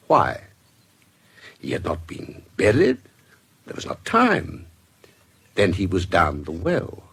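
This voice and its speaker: A man with a British accent playing a shrewd, composed investigator in a radio drama, recounting a case in formal, measured, precise language. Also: lowish voice, husky, full character voice. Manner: formal, measured, deliberate storytelling.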